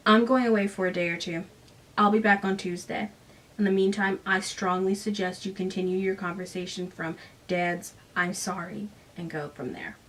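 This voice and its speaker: A young woman confronts her family with sharp disbelief, as a woman reads her part aloud. The voice is set back a little from the microphone. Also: medium pitch, fairly even intonation, normal speed, far from the mic, close to an everyday voice, poor audio. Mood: firm.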